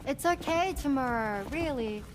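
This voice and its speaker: An actress playing a gentle young woman in a game, soft-spoken and reassuring. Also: high voice, expressive, moderate pace, noisy background. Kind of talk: naturalistic cinematic game acting.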